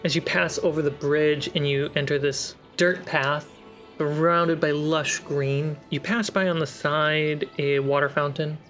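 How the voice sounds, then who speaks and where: Game master: mid-pitched voice, descriptive narration in short halting phrases, faint score underneath. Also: fairly even intonation, a bit bright, soft background music. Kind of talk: improvised tabletop game narration.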